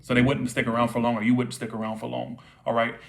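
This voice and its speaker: A man at middle pitch giving a live tarot reading, repeating himself and asking "all right?" as he goes. His voice is starting to wear out from long talking. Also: flat delivery, fast, vocal fry. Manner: repetitive, checks in with frequent "all right?". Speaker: tarot reader.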